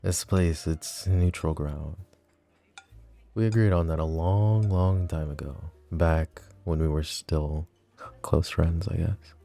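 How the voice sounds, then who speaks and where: An actor playing a possessive, flirty mafia boss in an ASMR roleplay drama, teasing and smug with a cold, threatening edge. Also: male voice, very deep voice, fairly even intonation, takes it slowly, creaky, mellow, faint music behind, exaggerated voice. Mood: intimate.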